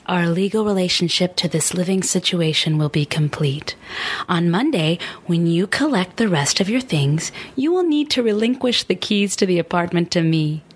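A woman acts out a wounded ex in a comic radio play about a breakup. The voice is a bit low, and the ex holds on to a strained civility over real bitterness. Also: slightly rough. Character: bitter ex-partner feigning civility after a breakup. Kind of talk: dramatic reading of breakup messages.

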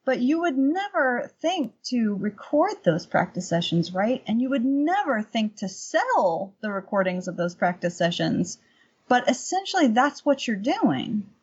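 A middle-aged woman chatting casually as an interview guest and painting teacher, telling anecdotes in an animated, emphatic way. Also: a little high, a little slow, husky, muffled recording.

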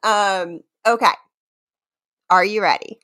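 A woman's voice: medium pitch, slow, sharp tone. A woman hosting a podcast in casual comedic chat, with a teasing manner and a theatrical streak when reading lyrics. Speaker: podcast host.

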